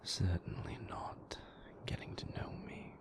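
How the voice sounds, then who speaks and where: A man playing a moody, guarded but tender vampire lover in a soothing, intimate, whispered ASMR roleplay. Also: lightly acted. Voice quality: breathy whisper with gravelly low undertone. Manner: slow, soft, soothing, intimate delivery.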